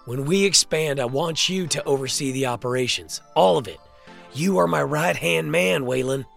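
An actor playing a cocky, ambitious crime boss in an audio drama, with a gravelly, self-assured delivery. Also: male voice, fairly high pitch, moderate pace, a bit bright, soft background music. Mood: earnest, trusting. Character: cocky, ambitious male crime boss, loyal to his partner.

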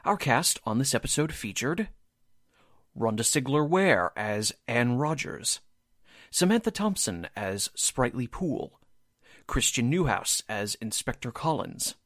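Casting: male announcer for scripted radio theater, animated melodic read, dry studio sound. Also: mid-range voice, a little slow, no echo. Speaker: radio drama announcer and voice actor.

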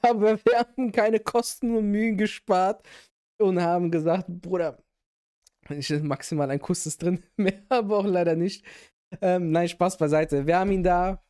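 A man's voice: sits high, fairly even intonation, moderate pace, a little mellow, smooth. A man hosting a podcast in casual chat, joking and laughing often, with many fillers and restarts. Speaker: podcast host.

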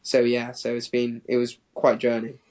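A man's voice: mid-range voice, completely flat delivery, moderate pace, a little mellow. Rambling young man chatting casually. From Hong Kong and England. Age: 20s. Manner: rambling, peppered with "like". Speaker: professional distance runner, interview guest. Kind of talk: casual interview chat.

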